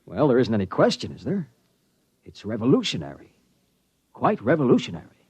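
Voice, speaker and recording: An actor playing an earnest, excitable American engineer with a hesitant, technical way of talking, in 1950s radio drama style. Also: male voice, mid-range voice, a little fast, slightly rough, no echo, heavily acted. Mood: awed. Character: earnest, excitable male engineer awed by discovery. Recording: dull, dry vintage radio recording.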